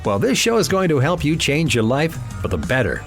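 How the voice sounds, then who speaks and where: A man's voice: a little high, sing-song, fairly quick. A man reading an upbeat scripted podcast introduction in an announcer style. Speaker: show announcer. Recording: music bed underneath.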